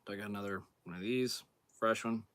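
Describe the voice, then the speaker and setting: Deep-voiced man host, hoarse, laid-back workshop demo. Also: a little fast, slight background noise. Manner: relaxed, plain-spoken, thinking aloud while working. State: hoarse, clearing throat. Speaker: outdoors channel host. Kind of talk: casual hands-on demonstration.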